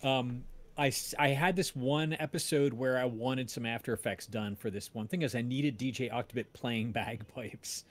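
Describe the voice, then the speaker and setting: A man's voice: medium pitch, fairly even intonation. A man telling a story casually on a livestream, rambling and often interrupting himself. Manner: rambling, halting, self-interrupting. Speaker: podcast creator and voice-drama maker.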